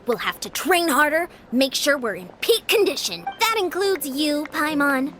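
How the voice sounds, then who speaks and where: Game role: young female athlete-fighter, upbeat, determined, high and very lively. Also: normal speed, slight background noise. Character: peppy, confident young female athlete-warrior.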